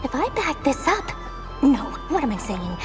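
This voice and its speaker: A woman voicing an excitable, scheming young merchant in an anime game cutscene, musing aloud in exclamations. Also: sits high, moderate pace, over music. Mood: greedy scheming.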